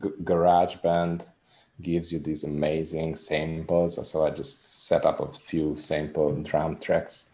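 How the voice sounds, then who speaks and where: A man's voice: extremely low pitch, little pitch movement, a little slow, a little mellow. A man in his thirties from Hungary, speaking English with a Hungarian accent, a musician guest earnestly explaining his work in a casual interview. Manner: earnest, rambling explanations with restarts.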